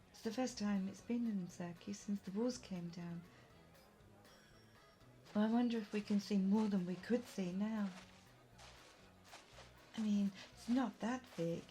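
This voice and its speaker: A woman acts out a cheerful, gentle young-spirited fantasy guide in an audio roleplay. She talks at an unhurried pace with soft, eager delight, as if to a close companion, over a light bed of music. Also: mid-range voice, natural voice. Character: Summer, cheerful gentle female local guide of a fantasy world. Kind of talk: immersive audio roleplay acting.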